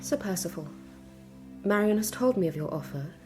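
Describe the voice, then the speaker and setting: An actress playing a resolute, unhappy young Victorian bride-to-be with an English accent, speaking formally and gravely in a period audio drama. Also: female voice, mid-range voice, expressive, moderate pace, warm tone, soft background music, natural voice. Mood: grave, measured. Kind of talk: period radio drama acting.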